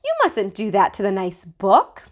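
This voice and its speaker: A woman's voice: mid-range voice, wildly swooping pitch, moderate pace. A woman voicing an exasperated but easygoing mother, chiding and coaxing, in a children's storybook. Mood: scolding.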